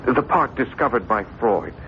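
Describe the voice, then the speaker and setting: Radio mystery role: male actor as intense, haunted French writer, argumentative and theatrical, middle-pitched, on a dull old recording. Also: expressive, moderate pace, close to an everyday voice. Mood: fervent, insistent. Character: obsessed, agitated French writer convinced of an unseen invader. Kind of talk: 1970s radio drama acting. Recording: muffled, noisy old radio broadcast recording.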